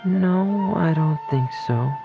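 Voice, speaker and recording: A man voicing a shy, dreamy young male diver in a video game, speaking softly and earnestly with some hesitation. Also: on the high side, takes it slowly, gravelly, narrow, tinny audio, over music. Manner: soft-spoken, hesitant, earnest. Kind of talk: video game character dialogue.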